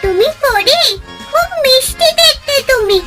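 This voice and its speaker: A woman's voice: very high voice, lively intonation, measured pace, music in the background. A woman voicing a sweet, wide-eyed little village girl in a cartoon, childlike and eager. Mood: delighted. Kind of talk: cartoon fairy-tale voice acting.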